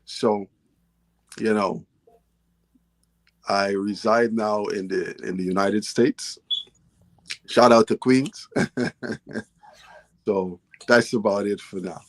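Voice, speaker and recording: A man's voice: on the low side, fairly even intonation, slow, pauses often, no room sound, poor audio. A man from Guyana, a guest author and poet, telling his story in a casual interview in an earnest, storytelling way.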